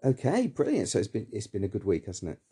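A man co-hosting a casual podcast, encouraging and gently teasing. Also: fairly low pitch, huge pitch swings, quick pace, husky. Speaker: podcast co-host.

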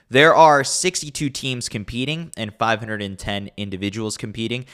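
A man's voice: fairly low pitch, pushed and bright, clean tone. A man hosting a podcast, reading a newsletter aloud in a scripted, wry and teasing delivery. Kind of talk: scripted read with dry humor.

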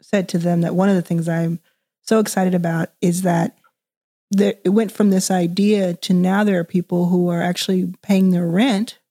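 A woman's voice: fairly low pitch, little pitch movement, moderate pace, mellow. A woman hosting an interview show, chatty and loose, asking her guests open questions. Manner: chatty, laughing, loose phrasing. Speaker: podcast host.

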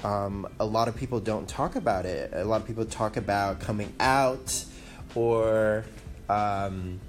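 Slow, hesitant man with a Taiwanese accent. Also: fairly low pitch, faint music behind. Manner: hesitant, many ums, self-conscious. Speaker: podcast host. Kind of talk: casual solo podcast talk. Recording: faint background noise.

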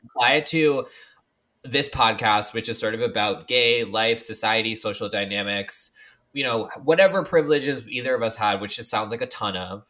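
Bright-voiced chatty podcast man. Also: lowish voice, moderate pace. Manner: chatty, filler-heavy, playful. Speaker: podcast co-host. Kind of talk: casual chat.

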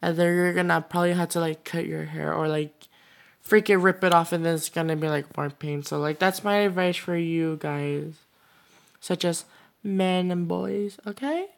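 A man's voice: a little high, moderate pace, gravelly. A sixteen-year-old boy hosting his own podcast, talking alone in a loose, rambling way full of "like, you know.". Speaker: podcast host. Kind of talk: casual solo podcast monologue.

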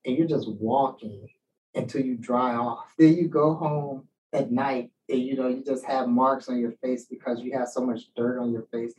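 A man's voice: mid-range voice, moderate pace. Man reflecting aloud, recorded from a distance. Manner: animated storyteller, acts out quoted remarks. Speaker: poet and writing teacher giving a talk. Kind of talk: casual reflective question-and-answer talk. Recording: distant mic with faint background noise.

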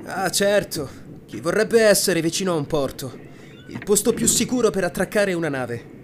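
A man's voice: sits high, animated, normal speed, a little hiss or hum. A man playing a cocky, sarcastic sailor who mocks his crewmates in a fantasy audio drama. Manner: mocking, sarcastic.

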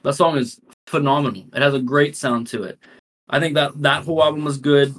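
A man's voice: medium pitch, steady tone, fairly quick, fairly bright tone. Rambling male podcast co-host. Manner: rambling, hesitant, lots of restarts. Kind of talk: casual chat.

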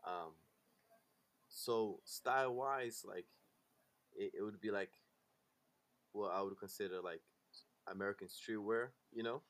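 A man's voice: lowish voice, unhurried, long pauses, a bit bright. A Brazilian-born man in his late twenties, a podcast guest talking loosely with lots of fillers and restarts. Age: late 20s. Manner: laid-back, loose talk with many fillers and restarts. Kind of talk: casual interview chat.

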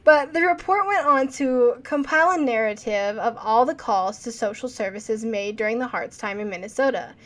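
A woman's voice: on the high side, moderate pace, hardly any pauses. A young adult woman co-hosting a casual podcast, reading from reports and asking earnest questions. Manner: earnest, questioning, reads quotes aloud. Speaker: podcast co-host.

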